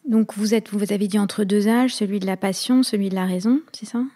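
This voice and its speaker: A woman interviewer with a mellow, warm tone and a mid-range voice. She builds her questions carefully and at length, speaking in a calm, attentive way. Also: mid-range voice, brisk. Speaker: interviewer, host. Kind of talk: long-form interview questions.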